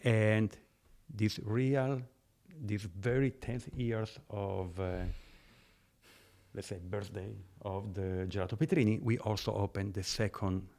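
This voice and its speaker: A middle-aged Italian man talks in a somewhat low voice, his accent strong and his English phrasing a little off. He speaks earnestly, with bursts of enthusiasm that lift his tone. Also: slow. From Italy. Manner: earnest, non-native phrasing, animated bursts. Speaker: guest, gelato business owner. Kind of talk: interview conversation.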